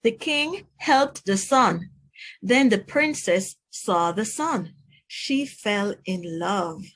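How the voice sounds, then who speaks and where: A woman teacher narrating a children's story aloud in a slow, clear storytelling manner. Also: medium pitch, expressive, low-quality recording.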